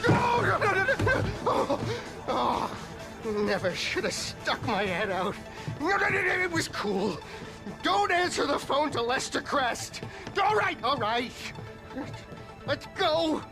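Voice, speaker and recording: A man's voice: very high-pitched, big pitch swings, takes it slowly, over music, exaggerated voice, rough recording. An actor playing a panicky, paranoid male fugitive in a video game, repeating himself frantically. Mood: panicked. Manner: panicky, repetitive, swearing.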